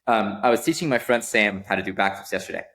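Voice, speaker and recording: A young man with a deep, low voice talks casually as a podcast guest. He tells stories with lively energy, often pausing on an "um" before pressing on. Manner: animated storyteller, frequent ums. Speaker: guest, startup founder.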